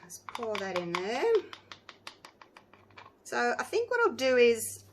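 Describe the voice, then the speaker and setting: Croaky-voiced woman, casual tutorial host, chatty and rambling. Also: on the high side, huge pitch swings, slow, slight background noise. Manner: chatty, rambling, talks to viewers while working. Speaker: resin craft channel host.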